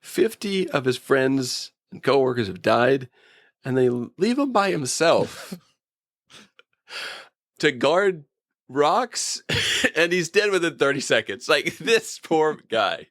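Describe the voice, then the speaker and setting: A man's voice: fairly high pitch, sing-song, slow, long pauses, a bit bright, dry recording, clean studio sound. A man co-hosting a casual review podcast, telling things in a wry, joking, anecdotal way. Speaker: podcast co-host. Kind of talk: casual humorous review chat.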